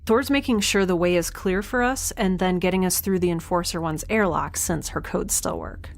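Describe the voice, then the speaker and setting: Casting: nerdy young female scientist, lowish voice, earnest nervous rambler, light ambient noise behind. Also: moderate pace, creaky. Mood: nervous, chatty. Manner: chatty, awkward, earnest, rambles when nervous. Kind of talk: naturalistic audio drama acting with narration.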